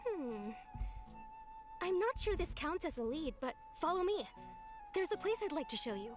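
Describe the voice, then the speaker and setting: A woman's voice: very high-pitched, big pitch swings, moderate pace. An actress playing a worried, pleading young village woman in a video game, anxious and imploring, with game music underneath. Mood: hesitant.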